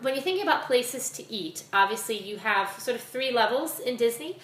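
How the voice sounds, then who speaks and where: A woman's voice: on the high side, lively intonation, fairly quick, a bit bright. A middle-aged woman co-hosting a podcast, chatting casually with joking asides. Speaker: podcast co-host. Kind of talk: casual chat. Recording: echoey room, faint ambient noise.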